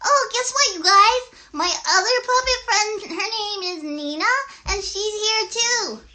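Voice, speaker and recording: A woman voicing an excited, friendly little girl puppet who chats eagerly and haltingly in a children's livestream show. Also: extremely high pitch, heavily acted. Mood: cheerful, chatty.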